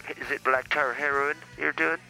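A man's voice: mid-range voice, steady tone, moderate pace, vocal fry, sharp tone, faint music behind, low-quality recording. A man voicing a cranky, foul-mouthed older male priest who calls in, blustering and stammering as he accuses the hosts. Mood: accusing. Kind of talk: comedy character call-in.

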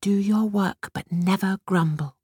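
A woman voicing a dutiful, tearful young peasant girl in a storybook, with a high, breathy, pleading voice. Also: a little slow, a little mellow, no echo, clean studio sound. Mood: obedient.

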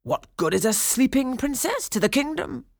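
A woman's voice: sits high, sing-song, moderate pace, gravelly, no echo, studio quality, voice pushed up, exaggerated voice. A woman voicing a sensible male royal vizier in a storybook, emphatic and querulous in manner. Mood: demanding.

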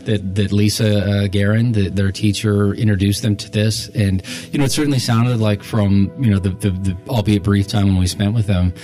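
A middle-aged man with a deep, low voice talks as a podcast host in an easy, conversational style. He rambles a little, doubling back on words mid-thought, with faint music playing underneath. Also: fairly even intonation, normal speed, hardly any pauses, dark tone. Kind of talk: casual podcast chat.

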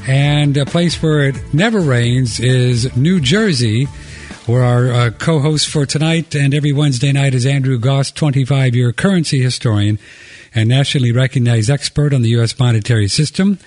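A man's voice: medium pitch, normal speed, darkish tone, soft background music. A man hosting a radio talk show, chatty and joking as he gives out phone numbers and introduces his co-host. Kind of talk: casual radio chat. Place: radio studio.

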